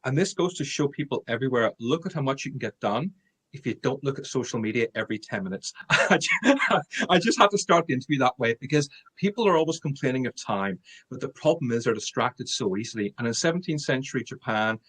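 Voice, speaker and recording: A man's voice: medium pitch, lively intonation, fast talker, a bit bright, husky. A middle-aged man with an Irish accent, a guest on a sales podcast, talking emphatically and repeating his key points. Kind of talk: podcast interview.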